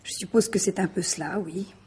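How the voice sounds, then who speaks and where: An actress playing a polite, guarded mistress in a French radio mystery drama, hesitant and questioning. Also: female voice, lowish voice, fairly even intonation, fairly quick, mellow, breathy edge. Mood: confiding.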